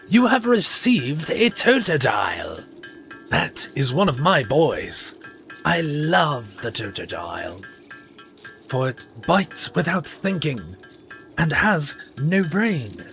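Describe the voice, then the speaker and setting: A man with a high, light voice chats unhurriedly while he plays on a live stream. He rambles playfully and pokes fun at himself. Faint game music drifts along behind him. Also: sing-song, slow pace, gravelly, fairly bright tone. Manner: playful, rambling, self-mocking. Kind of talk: casual live-stream chatter.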